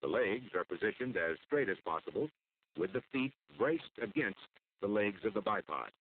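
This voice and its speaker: Low-voiced American training film narrator, male, tinny sound. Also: expressive, moderate pace. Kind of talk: scripted instructional military film narration. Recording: muffled phone-like old film soundtrack.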